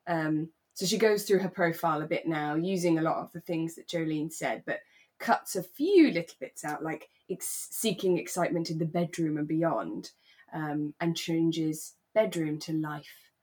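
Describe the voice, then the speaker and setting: Slightly low-voiced woman in casual chat. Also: moderate pace, a little mellow, slightly rough. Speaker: podcast co-host. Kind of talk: relaxed, casual chat recapping a radio drama.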